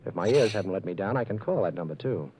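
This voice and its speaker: An actor playing a quick-witted, confident amateur detective in a brisk 1940s radio drama style. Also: male voice, low-pitched, animated, darkish tone. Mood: confident, wry. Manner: brisk, clipped, unflappable. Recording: muffled, noisy old radio recording.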